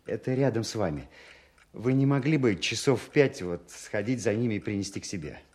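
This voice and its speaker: An actor playing a proud young army captain and war veteran, lovestruck and resentful, in a measured, expressive audio drama performance. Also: male voice, lowish voice. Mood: hurried, polite. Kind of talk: Soviet-style audio drama, first-person narration and dialogue.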